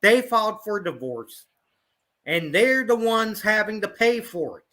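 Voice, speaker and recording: Blunt high-voiced man from Ohio. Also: very animated, a little slow, bright, forceful tone. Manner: blunt, repeats "pure and simple". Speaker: guest panelist. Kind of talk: online panel discussion.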